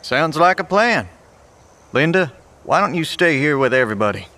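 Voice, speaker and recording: An earnest male survivor in an audio drama, leader of a small band. His voice is fairly high and forcefully bright, with informal, polite phrasing. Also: sing-song, normal speed. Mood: steady, cooperative.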